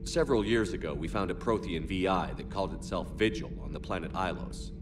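An actor playing a calm, curious starship commander who asks steady, measured questions. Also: male voice, deep voice, close to an everyday voice. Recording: distant game audio with clear noise.

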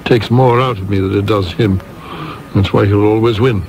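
An actor playing an urbane, wry film and theatre producer, articulate, formal and dry, in a radio play. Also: male voice, fairly low pitch, steady tone, normal speed, a little mellow, slight background noise, close to an everyday voice. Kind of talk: radio drama dialogue and monologue. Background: music.

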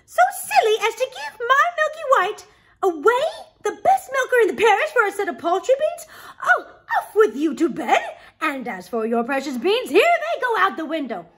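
A woman voicing an excitable storybook mother, shrill, exasperated and dramatic. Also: moderate pace, heavily acted. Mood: outraged scolding. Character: scolding, excitable mother of a poor country boy.

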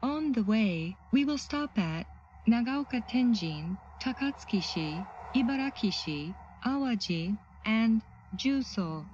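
Woman, automated transit announcer, formal scripted delivery, very slow phrasing, dull lo-fi train speaker sound. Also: fairly high pitch, a little mellow, a little hiss or hum. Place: played over a train's speakers.

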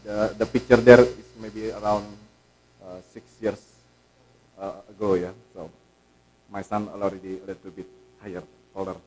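A man's voice: moderate pace, long pauses, low-quality recording. A man in his forties from Indonesia with an Indonesian accent, a guest pastor opening a sermon in a church, speaking into a pulpit mic with frequent hesitant fillers. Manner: many hesitant "uh" fillers, level and pitch rising when animated. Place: church sanctuary. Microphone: stand mic at pulpit.

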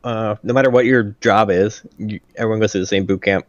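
A man's voice: on the low side, steady tone, a little fast, no room sound, highs cut off. A man who works as a Marine aircraft mechanic answers technical questions in a plain-spoken, casual way over a video call.

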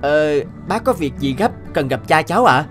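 A man playing a magistrate's young son in a cartoon, smooth-talking, polite on the surface and boastful. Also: a little high, expressive, normal speed, a bit bright, faint music behind. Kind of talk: animated cartoon dialogue.

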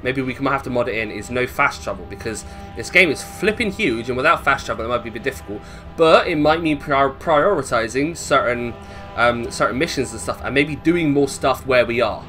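Lively, bright-voiced man doing game commentary. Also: medium pitch, brisk, soft background music. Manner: chatty, thinking aloud, self-questioning, casual swearing. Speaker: gamer narrating a let's play. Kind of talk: casual gameplay commentary.

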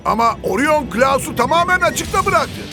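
A man playing an excitable football match commentator in a cartoon. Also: very high voice, moderate pace, over music. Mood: brisk, involved.

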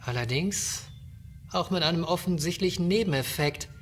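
A man's voice: on the high side, normal speed, a bit bright, a little hiss or hum, close to an everyday voice. A man playing a cautious, lecturing ship's scientist in a sci-fi audio drama, speaking precisely and formally. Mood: explaining. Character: cautious, pedantic male ship's scientist, urging retreat.

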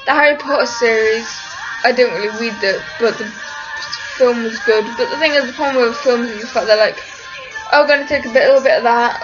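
Giggly high-voiced young English woman. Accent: English, little Liverpool. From England, born in Liverpool. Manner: giggly, scatterbrained, talks to her cat in baby talk. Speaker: vlogger answering viewer questions. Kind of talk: casual webcam Q&A chat. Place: small indoor room, seated against a wall. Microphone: webcam at arm's length. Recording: dull lo-fi webcam sound.

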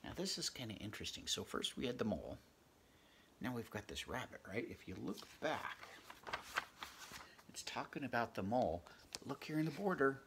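A middle-aged man reading a picture book aloud at home, expressive, pausing to chat about the pictures and dropping into whispers. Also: medium pitch, a little hiss or hum. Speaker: read-aloud storyteller. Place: home room, indoors.

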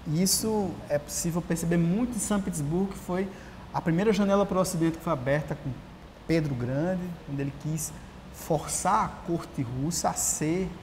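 A Brazilian man in his thirties, a writer and guest, talking in a casual interview with lively storytelling and many restarts, recorded on a lapel mic in a large empty auditorium. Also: on the high side, normal speed. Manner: animated storyteller, frequent restarts. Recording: long hall reverb, faint hiss.

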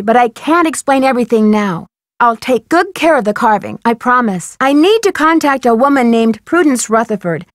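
High-voiced, earnest young woman detective. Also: no echo, clean studio sound. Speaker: voice actress in a detective adventure game. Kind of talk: scripted game dialogue.

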